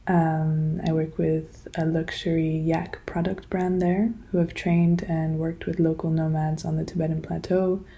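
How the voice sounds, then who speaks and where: A woman's voice: low-pitched, level tone, unhurried, hardly any pauses, dark tone, breathy edge. A young adult woman from Switzerland answering interview questions in a casual, hesitant way, with many fillers and restarts. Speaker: guest, designer.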